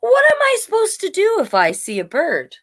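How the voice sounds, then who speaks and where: A woman's voice: extremely high pitch, huge pitch swings, normal speed, a bit bright, pitched above the performer's own voice, full character voice. A woman voicing a silly, forgetful, polite dog from a children's storybook, with sing-song questioning. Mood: puzzled.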